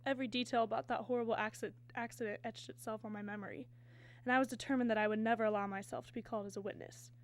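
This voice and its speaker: An actress as a rushed, haunted murder witness. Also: female voice, on the high side, fairly even intonation, close to an everyday voice. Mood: anguished, confessional. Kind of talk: 1950s radio drama acting.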